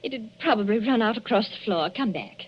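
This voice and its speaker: An actress playing a tense, defensive young wife who hides her unease, with a husky voice and clipped, guarded replies in a 1940s radio drama. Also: a little high, expressive, a little fast, slight background noise, close to an everyday voice. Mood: frightened. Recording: dull, muffled old radio recording.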